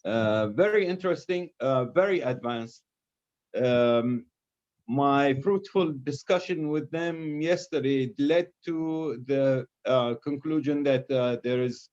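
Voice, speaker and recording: A man giving formal remarks at an online forum, halting with frequent fillers. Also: mid-range voice, darkish tone. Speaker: diplomatic speaker. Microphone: video call. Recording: dull lo-fi video call audio.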